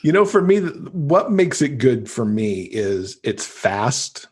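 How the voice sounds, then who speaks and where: A man's voice: medium pitch, sing-song, slow pace, darkish tone, slightly rough. A man, middle-aged, talking casually and enthusiastically as a guest in an interview about books. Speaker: podcast guest, avid reader.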